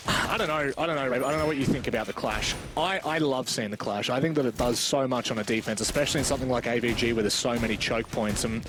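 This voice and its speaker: A man's voice: mid-range voice, fast talker, few pauses. A man giving live play-by-play commentary on an esports match. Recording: noisy, game gunfire behind voice.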